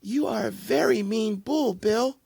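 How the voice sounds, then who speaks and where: A man voicing a wise old pig in a storybook, speaking softly with slow, mild firmness. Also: sits high, vocal fry, husky, no room sound, pitched above the performer's own voice, full character voice. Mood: calm reproach. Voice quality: soft. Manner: slow, mild, firm reproach. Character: wise old male pig, calm and unafraid.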